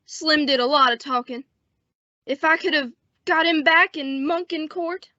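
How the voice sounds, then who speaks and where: Teen girl doing a dying cowboy sheriff for a radio western, high pitched, strained, folksy, kinda muffled dry audio. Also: unhurried, bright, forceful tone, dry recording. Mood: resigned. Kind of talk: old-time western radio drama acting. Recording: dull, dry lo-fi radio recording.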